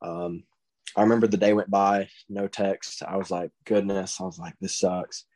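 Teen boy, Southern accent, low voice, monotone, laid-back, lots of "I mean". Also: normal speed. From Texas. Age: teens. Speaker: guest, high school baseball recruit. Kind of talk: casual interview answers.

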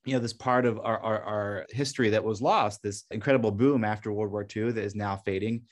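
A man's voice: on the low side, fairly even intonation, brisk. A middle-aged man from Belgium, a documentary director, answering questions in a radio interview in an earnest, reflective way with frequent restarts.

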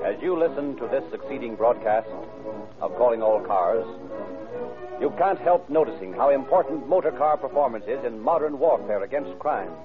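Male 1930s radio announcer, emphatic polished delivery, mid pitch, thin tinny recording, music behind. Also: expressive, moderate pace. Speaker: announcer and narrator. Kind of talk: 1930s radio announcing, scripted sales and narration.

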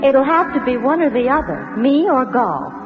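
Earnest young fiancée, muffled old radio. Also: female voice, high-pitched, big pitch swings, normal speed. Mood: pleading, firm. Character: earnest young fiancée delivering a firm ultimatum. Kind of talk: 1940s radio acting. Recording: muffled vintage radio, faint music behind.

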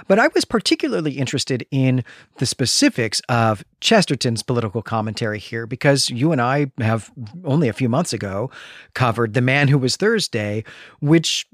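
A man's voice: medium pitch, fairly bright tone, no room sound, studio quality. A man co-hosting a literary podcast, talking in a discursive, analytical, conversational way. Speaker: podcast co-host.

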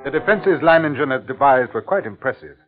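Actor as grave, uneasy commissioner, tinny old radio. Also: male voice, mid-range voice, animated, natural voice. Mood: grave, awed. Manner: measured, grave, dramatic delivery. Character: uneasy, awestruck male colonial district commissioner recounting his ordeal. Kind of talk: 1950s radio drama acting and narration. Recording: tinny, band-limited 1950s radio recording, music behind.

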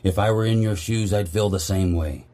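Stern army commander played by a man, dry and authoritative, deep mellow voice, audio drama. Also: moderate pace, natural voice. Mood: measured, firm. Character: stern, dry, duty-bound male army officer. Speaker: commanding officer character.

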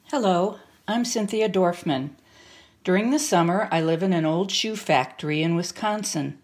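Older lady writer introducing her piece, voice a bit on the low side. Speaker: poet, writer. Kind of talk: prepared spoken introduction.

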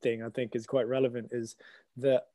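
Young man with a mellow, relaxed voice. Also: medium pitch, moderate pace, dry recording, clean studio sound. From Hong Kong. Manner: earnest, loose phrasing. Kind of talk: casual discussion.

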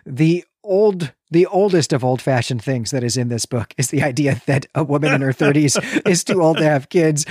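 A man's voice: medium pitch, darkish tone, no echo, studio quality. A man co-hosting a casual literary discussion podcast, chatty and readily amused. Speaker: podcast co-host.

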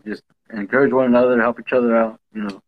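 A man from California co-hosting a podcast, chatting casually and agreeably with quick short replies. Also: medium pitch, fairly even intonation, narrow, tinny audio. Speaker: podcast co-host. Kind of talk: casual chat.

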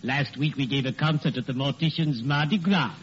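An actor playing a lugubrious, genteel American undertaker with formal, punning speech in 1940s radio comedy. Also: male voice, medium pitch, natural voice. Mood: droll, morbid. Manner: formal, mournful, punning, drawn-out delivery. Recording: noisy, muffled vintage radio recording.

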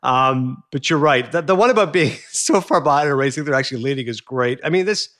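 A man co-hosting a podcast, upbeat and quick, reading out quotes with relish. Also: medium pitch, animated, a bit bright. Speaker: podcast co-host. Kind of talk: casual chat.

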